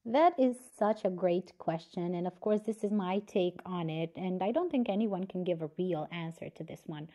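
A woman's voice: lowish voice, normal speed, hardly any pauses, dark tone. Romanian woman with an American-tinged accent. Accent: Romanian, American-sounding. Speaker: podcast guest. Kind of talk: casual conversation. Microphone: remote audio room.

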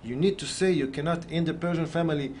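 A man's voice: on the high side, fast, a little mellow, a little hiss or hum. Israeli-accented man in his thirties. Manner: non-native English with loose grammar. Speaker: film director, interview guest. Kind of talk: conversational interview answers. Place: TV studio.

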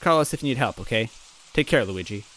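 Spunky young goomba girl, read by a man, brisk and bossy, a touch raised. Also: mid-range voice, expressive, fairly bright tone, slight background noise, close to an everyday voice, poor audio. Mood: urging.

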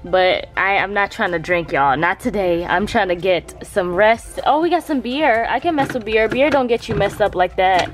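A woman's voice: medium pitch, expressive, normal speed, few pauses, music in the background. Chatty young woman vlogging a hotel room. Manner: chatty, slangy, talking to viewers and partner. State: voice raspy from just waking up. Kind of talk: casual hotel room tour vlog.

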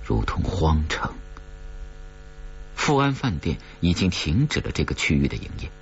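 A man narrating a horror audiobook in a dramatic, suspenseful way with measured phrases and deliberate pauses. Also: extremely low pitch, muffled recording, slight background noise.

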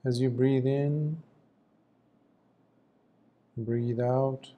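A man guiding a meditation as a teacher, speaking gently in short phrases with long pauses between them. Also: medium pitch, fairly even intonation, narrow, tinny audio. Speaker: meditation teacher, Buddhist monk. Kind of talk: guided meditation, slow spoken instruction.

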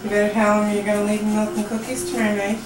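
A woman's voice: mid-range voice, monotone, rapid-fire. A woman chatting casually at home, prompting a child, caught on a camcorder microphone. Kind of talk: casual chat in a home video. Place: home kitchen, indoors. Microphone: camcorder mic, away from speaker. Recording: distant, roomy, very noisy camcorder audio.

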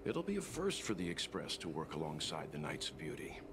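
A man voicing a composed, mature gentleman in a video game, speaking in a measured, courteous way. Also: sits low, huge pitch swings, full character voice. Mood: calm, polite. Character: composed, polite mature gentleman, dutiful crewmate. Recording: game audio with clear background noise.

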